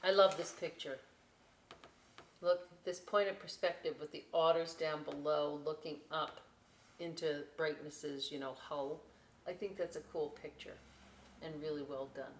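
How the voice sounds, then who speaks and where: A woman reading a picture book aloud as a storytime host, enthusiastic and expressive, with dramatic emphasis and chatty asides. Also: low voice, measured pace. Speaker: library storytime reader. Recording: roomy echo, clear background noise.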